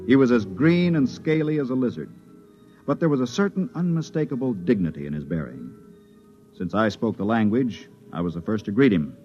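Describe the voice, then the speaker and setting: A man's voice: mid-range voice, expressive, brisk, warm tone, soft background music, natural voice. An actor playing a hardened veteran spaceman with an American accent, narrating and acting in an old radio drama. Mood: brooding narration. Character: battle-scarred, world-weary veteran spaceman narrating his story. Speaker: narrator and lead character. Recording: muffled vintage radio recording.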